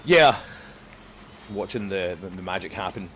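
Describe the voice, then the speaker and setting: A man's voice: low voice, expressive, measured pace, a bit bright. A man chatting casually with friends while gaming, in a rambling, self-deprecating way. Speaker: podcast guest, dungeon master. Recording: clearly noisy background.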